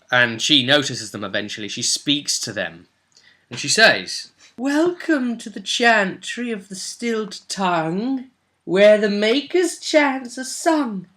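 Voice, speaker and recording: A man hosting as game master, recapping a tabletop role-play session in an animated, conversational storytelling way. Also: mid-range voice.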